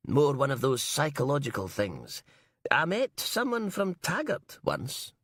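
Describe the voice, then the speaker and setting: A man's voice: mid-range voice, huge pitch swings, unhurried, a bit bright, no room sound, studio quality, pitched above the performer's own voice, full character voice. A man reading an audiobook, voicing an eager, chatty elderly man with a wheezy edge. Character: chatty elderly male hall caretaker, eager.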